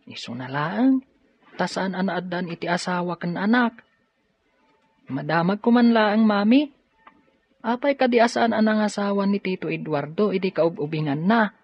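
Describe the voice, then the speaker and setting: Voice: medium pitch, expressive, moderate pace, pauses often, slightly rough, no room sound. An actor playing a curious, eager young student son in a radio drama, asking questions with youthful keenness. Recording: dull, dry studio audio.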